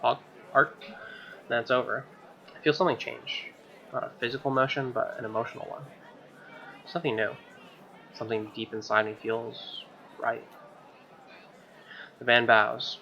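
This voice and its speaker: A man's voice: medium pitch, little pitch movement, moderate pace, vocal fry, long pauses, pushed and bright, muffled recording, slight background noise. A man doing casual game commentary and reading aloud from a visual novel.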